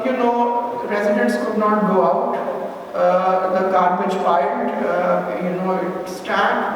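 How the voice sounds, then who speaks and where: A man with a Pakistani accent giving an impassioned public lecture, halting and emphatic, repeating phrases as he goes. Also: high-pitched. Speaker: speaker giving a public history talk. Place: echoey indoor hall. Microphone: distant microphone. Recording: echoey hall, distant mic, noisy.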